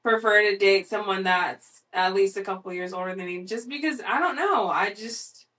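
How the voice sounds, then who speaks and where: A woman in her twenties co-hosting a casual podcast, talking bluntly and candidly in a fairly even tone. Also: medium pitch, normal speed, far from the mic. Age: 20s. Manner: blunt, candid, says she sounds monotone. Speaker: podcast co-host. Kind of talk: casual chat.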